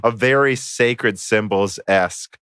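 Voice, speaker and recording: A man's voice: on the low side, slow, a bit bright, smooth, no room sound, studio quality. An American man hosting a casual tech podcast, chatty and rambling with frequent fillers. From United States. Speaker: podcast host.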